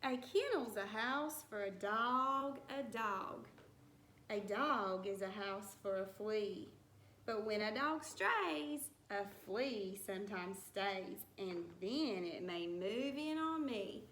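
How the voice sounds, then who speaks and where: Woman, primary teacher, children's read-aloud, singsong rhymes, unhurried delivery, echoey room, faint background noise. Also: medium pitch, lively intonation, fairly bright tone. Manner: deliberate, singsong storybook phrasing with playful asides. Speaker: first-grade teacher reading aloud. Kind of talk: read-aloud of a rhyming picture book for young children. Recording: roomy echo with some background noise.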